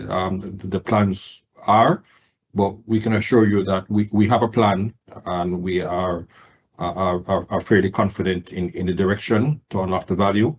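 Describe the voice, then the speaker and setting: A man speaking as a company executive on an earnings call, answering in formal, hesitant, measured phrasing. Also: sits low, muffled recording.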